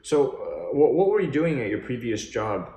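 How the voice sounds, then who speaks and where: A man in his thirties hosting a casual chat, relaxed and reflective, asking friendly questions. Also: mid-range voice, normal speed, slight background noise. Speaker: host. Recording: echoey room.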